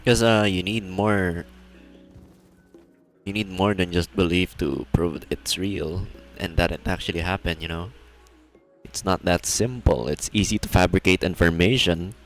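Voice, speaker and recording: A man's voice: low voice, measured pace. A man doing casual live game commentary as a streamer, musing and hesitant as he thinks aloud. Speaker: livestreamer, gamer. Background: game music.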